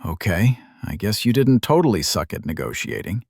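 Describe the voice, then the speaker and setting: A man's voice: fairly low pitch, very animated, normal speed, creaky, a little mellow, husky, dry recording, studio quality, exaggerated voice. A man voicing a cocky young male hero, casual and flippant, in a fantasy audiobook. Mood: flippant, upbeat.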